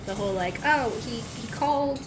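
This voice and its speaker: A woman's voice: a little high, fairly quick, background noise, close to an everyday voice. A woman playing a flustered, rambling young woman in improvised in-character roleplay. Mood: flustered, gossipy.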